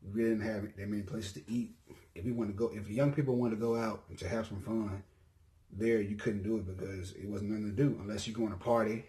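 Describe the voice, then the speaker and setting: Laid-back young Southern man, low voice. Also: steady tone, brisk, dark tone. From Mississippi. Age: 20s. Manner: laid-back, rambling, frequent ums and self-corrections. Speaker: YouTuber. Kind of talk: casual self-introduction vlog.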